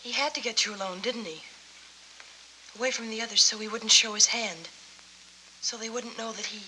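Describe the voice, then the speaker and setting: An actress in a 1940s film noir, playing a loyal, self-blaming young singer with a bitter, wry way of talking. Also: female voice, fairly high pitch, normal speed, sharp tone, low-quality recording. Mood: questioning. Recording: faintly hissy 1940s film soundtrack.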